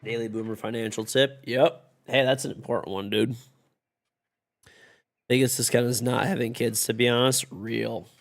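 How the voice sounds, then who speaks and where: A man in his thirties with a gravelly, creaky voice, worn down by congestion and a fading voice. He chats with his stream viewers in a loose, rambling way, dropping swear words freely. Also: medium pitch, steady tone, normal speed. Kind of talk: casual chat with stream chat.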